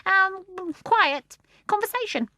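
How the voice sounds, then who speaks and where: A woman voicing a chatty, cheeky male starling with a shrill, pompous and fussy manner. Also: lively intonation, slow, pushed and bright, dry recording, clean studio sound, heavily acted. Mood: shrill chatter.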